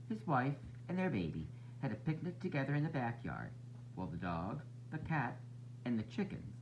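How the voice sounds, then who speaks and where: A man reads a children's picture book with a hugely varied, swooping delivery, stretching out key phrases like a teacher. The recording is muffled, with a loud hum behind his voice. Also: mid-range voice. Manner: expressive, drawn-out refrains and raised character voices. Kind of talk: animated storybook read-aloud for young children.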